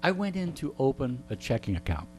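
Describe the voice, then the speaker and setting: A man's voice: mid-range voice, very animated, brisk. A middle-aged or older man telling casual anecdotes in a wry, joking, digressive way. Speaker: interview guest and storyteller. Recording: clear background mains hum.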